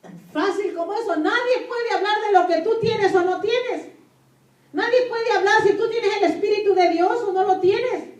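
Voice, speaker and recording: A woman's voice: extremely high pitch, moderate pace, a little mellow. A woman pastor preaching a sermon in Spanish as a native speaker, emphatically repeating key phrases and putting questions to her listeners. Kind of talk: sermon with scripture reading. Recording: echoey room, distant microphone.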